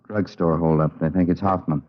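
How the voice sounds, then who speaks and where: An actor playing a brisk, matter-of-fact American police detective in an old radio crime drama. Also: male voice, sits low, steady tone, lightly acted. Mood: brisk, businesslike. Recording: muffled vintage radio recording.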